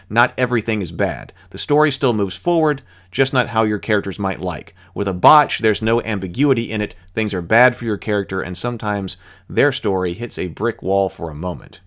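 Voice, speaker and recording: Low-voiced man reading game rules. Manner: reads rules aloud, then thinks out loud with asides. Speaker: channel host.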